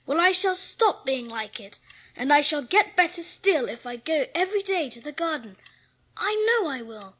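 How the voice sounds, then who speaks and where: A woman's voice: high voice, sing-song, normal speed, fairly bright tone, dry recording. A woman playing an imperious, determined young invalid boy in a dramatised audiobook, speaking in earnest declarations. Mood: hopeful, determined.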